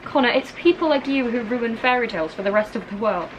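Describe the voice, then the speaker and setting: A woman reading a storybook, voicing a bookish eleven-year-old girl who is prim, earnest and quick to correct. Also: on the high side, lively intonation, noisy background, pitched above the performer's own voice, lightly acted. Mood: disapproving, earnest. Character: bookish, disapproving eleven-year-old schoolgirl twin sister. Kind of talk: children's storybook character reading.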